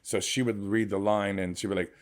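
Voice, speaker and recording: A man guest on a radio talk show, an entertainer and MC, telling lively stories and slipping into voices. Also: lowish voice, brisk. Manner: animated storyteller, breaks into voices. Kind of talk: casual radio interview.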